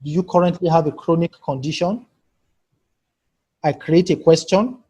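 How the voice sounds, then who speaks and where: A man's voice: fairly high pitch, normal speed, mellow, slightly rough. A man teaching a live online workshop over a video call, patiently walking participants through building a form and calling on them by name for feedback. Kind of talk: live screen-share tutorial. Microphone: computer mic on a video call.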